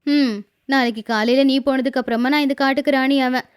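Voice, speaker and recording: Bold high-voiced nanny goat played by a woman. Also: steady tone, a little fast, smooth, natural voice. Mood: defiant. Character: cunning, bold female goat, forest trickster. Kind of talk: cartoon fable acting.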